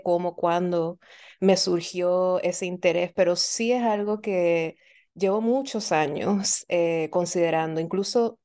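A woman's voice: mid-range voice, dark tone. A woman, a professor, answering interview questions in a reflective way and often ending thoughts with a questioning tag. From Puerto Rico. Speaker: guest author, professor.

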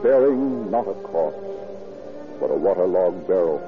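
Muffled vintage radio sound with music behind. a grim, poetic male narrator of a crime tale. His low voice is ominous and florid, with theatrical delivery. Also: lively intonation, measured pace. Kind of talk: old-time radio crime drama narration.

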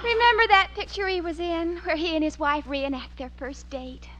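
A woman's voice: very high voice, steady tone, moderate pace. A woman playing a gushing, romantic American teenage daughter in an old radio sitcom. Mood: dreamy, gushing. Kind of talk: 1940s radio sitcom acting. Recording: muffled, hissy old radio recording.

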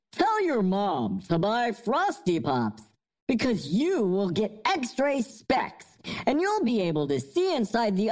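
A woman's voice: fairly high pitch, darkish tone. A woman playing a bossy, earnest young girl with schemes, in a comedic audio sketch. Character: bossy, scheming young girl, prize-obsessed best friend.

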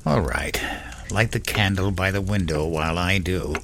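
A man playing a gentle, bereaved elderly husband and father in a horror audio drama, hesitant and pleading. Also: deep voice, moderate pace, gravelly, noisy background. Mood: weary.

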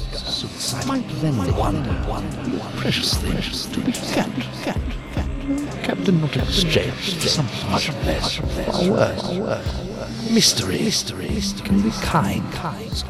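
Whispery, wildly swooping mystery man. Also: high voice. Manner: theatrical, whispery, halting, repeats words. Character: cryptic mysterious man in an alley, eerily poetic. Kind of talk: dramatic monologue in audio drama.

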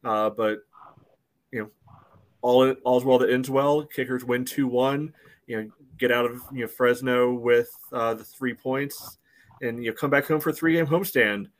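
An American man with a mid-pitched voice runs a sports podcast, recapping the match and passing the talk to his co-hosts. Nearly every phrase is padded with "you know," in an easy, conversational way. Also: fairly even intonation, moderate pace. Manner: says "you know" constantly, steers the talk. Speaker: podcast host. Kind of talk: casual sports chat.

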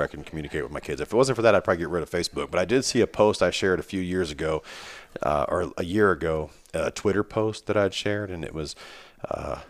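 A man in his forties, a podcast guest, chatting casually in a rambling, teasing, self-mocking way. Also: low voice. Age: 40s. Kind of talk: casual chat.

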